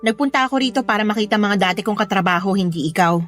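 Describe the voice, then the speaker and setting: An actress playing a bitter, unforgiving young mother in a Filipino radio drama, scornful, sharp-tongued and emphatic. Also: medium pitch, fast talker, soft background music, lightly acted. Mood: scornful, annoyed.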